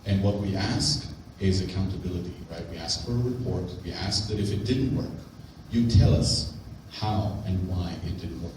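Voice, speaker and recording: A man presenting on grants at a conference in a hotel conference room, speaking in a clear, explanatory and emphatic way. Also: sits low, moderate pace, hardly any pauses, background noise. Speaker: grant program officer. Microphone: handheld mic, far camera. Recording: very echoey room from far off.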